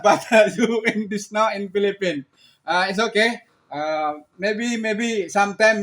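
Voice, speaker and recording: A man with an Indonesian accent speaking as an amateur radio operator, halting, repetitive and polite as he makes a contact. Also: high voice, a bit bright.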